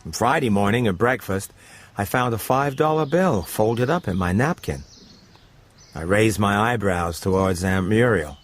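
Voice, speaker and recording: Low-voiced man narrating over music. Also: animated, unhurried. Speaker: narrator and presenter of radio stories. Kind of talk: scripted dramatic story narration.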